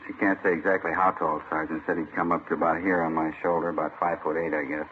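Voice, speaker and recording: A man's voice: low voice, flat delivery, fast talker, natural voice. An actor playing a plain-spoken young American ex-soldier husband in an old radio drama, obliging and folksy as he relays information. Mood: matter-of-fact. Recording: muffled vintage radio with faint hiss.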